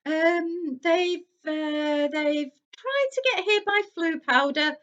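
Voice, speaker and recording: Brief: anxious young boy wizard, earnest and urgent, high-pitched, read by a woman. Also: slow pace, fairly bright tone, lo-fi sound. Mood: explaining.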